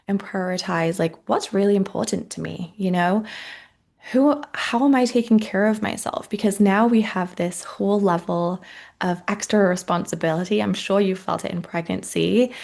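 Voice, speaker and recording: A woman in her thirties with a Welsh Canadian accent, speaking to expecting mothers as a coach. Her voice sits fairly low and has a gravelly fry to it. She talks in a soft, encouraging, casual way, full of likes and you knows. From Wales, raised in Canada. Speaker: motherhood coach and course founder. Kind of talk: casual masterclass talk to the viewer.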